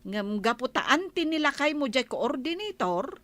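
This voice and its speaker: A chatty village friend in a comedy radio play. She has an Ilocano accent and a high, very lively, bright voice. She sounds eager and gossipy. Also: measured pace, no echo, heavily acted. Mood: urging. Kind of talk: comedy radio drama acting.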